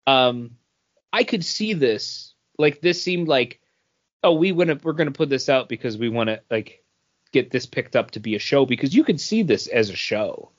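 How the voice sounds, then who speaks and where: A man's voice: medium pitch, expressive, normal speed. A man chatting casually and giving his opinions as a podcast co-host. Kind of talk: casual chat, book review. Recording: dull, lo-fi podcast audio.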